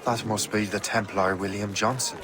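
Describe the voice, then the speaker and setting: A man's voice: lowish voice, steady tone, normal speed, background noise, close to an everyday voice. A man playing a cocky, defiant young assassin in a video game drama. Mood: curious.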